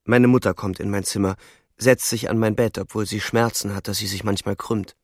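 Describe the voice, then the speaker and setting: An actor playing a weary, sensitive young soldier, narrating introspectively in a subdued, terse way in a German radio drama. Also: male voice, on the low side, steady tone, a little fast, dry recording, studio quality. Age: young adult. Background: music.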